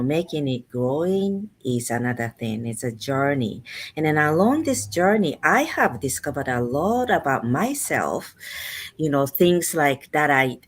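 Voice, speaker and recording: A woman from Japan, hosting a casual online business chat in an unhurried way with many fillers. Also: very deep voice. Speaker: host.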